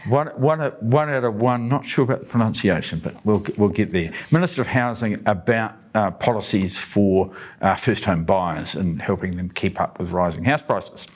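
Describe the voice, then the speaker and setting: An older man talks in a relaxed, chatty way. His voice sits a little low, and he drops light jokes as he runs through an agenda. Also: normal speed, few pauses. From New Zealand. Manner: relaxed, chatty, jokey asides. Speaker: parliamentary speaker hosting a daily update. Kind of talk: casual explainer of the day's business.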